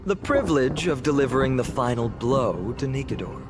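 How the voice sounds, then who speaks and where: A man's voice: medium pitch, very animated, fairly quick, over music, natural voice. A male actor playing a playful, boastful warrior in a video game, with a theatrical, teasing manner. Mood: challenging.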